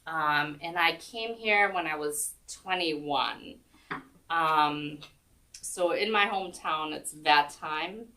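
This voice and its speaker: Woman, low register, chatty guest storyteller, recorded from a distance. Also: takes it slowly, pushed and bright. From Germany. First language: German. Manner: chatty, rambling, many ums and restarts. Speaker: guest, chair yoga instructor. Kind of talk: casual storytelling interview. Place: senior center room.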